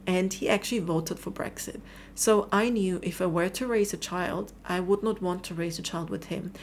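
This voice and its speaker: A woman's voice: on the low side, a little hiss or hum. A woman from Austria in her thirties talking candidly to camera in a motivational vlog, chatty and casual with some fillers. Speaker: vlogger.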